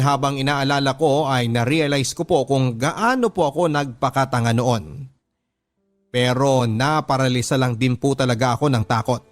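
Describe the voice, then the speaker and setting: A man reads as a heartbroken young wife and mother in a Filipino radio drama. The delivery is earnest and confessional, with a Filipino accent and measured, scripted phrasing. The pitch is mid-range for a man, and music plays underneath. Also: close to an everyday voice. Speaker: radio drama narrator reading a listener's letter. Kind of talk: dramatic first-person scripted storytelling. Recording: music bed under narration. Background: background music.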